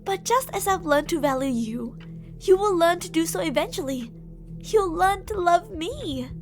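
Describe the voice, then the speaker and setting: Woman acting as a very high-voiced obsessive shapeshifter monster. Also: animated, soft background music. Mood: possessive, pleading. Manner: intimate, taunting, possessive, with drawn-out phrases. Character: obsessive female shapeshifting monster posing as a lover, menacing, coaxing. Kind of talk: ASMR horror roleplay acting.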